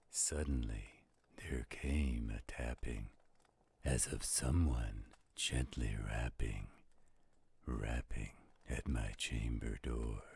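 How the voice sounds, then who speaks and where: A man playing a gruff but gentle, fatherly werewolf in an intimate audio roleplay, with a deep, gravelly, husky voice and an unhurried delivery. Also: full character voice.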